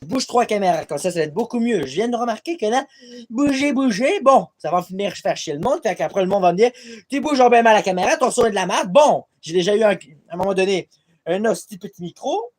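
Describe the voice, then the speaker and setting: A man's voice: high voice, animated, fairly quick, darkish tone. A young man from Quebec talking straight to his tablet camera in a rambling, self-deprecating vlog, swearing often as he goes. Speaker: vlogger. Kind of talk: casual rambling vlog monologue. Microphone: tablet camera mic.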